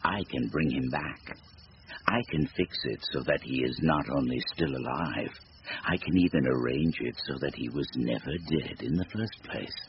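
An actor playing a sinister supernatural bargainer in a radio drama, gravelly, with a deliberate, formal, taunting delivery. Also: male voice, extremely low pitch. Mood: cool, bargaining. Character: sinister supernatural stranger, silky, devilish bargainer, bored and mocking. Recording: noisy, muffled radio drama recording.

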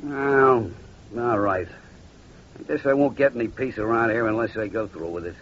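An actor playing a weary, grumbling American husband in an old crime radio drama, with a colloquial way of talking. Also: male voice, fairly low pitch, moderate pace, fairly bright tone. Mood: grudging, exasperated. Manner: colloquial, exasperated. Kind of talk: 1940s-50s crime radio drama acting. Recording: muffled old radio recording with noise.

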